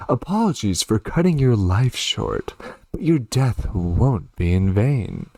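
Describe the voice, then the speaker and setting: A man voicing a darkly polite, menacing witch villain in a horror game, measured and theatrical. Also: on the low side, sing-song, mellow, dry recording, heavily acted. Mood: coolly satisfied. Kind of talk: reading game dialogue in character.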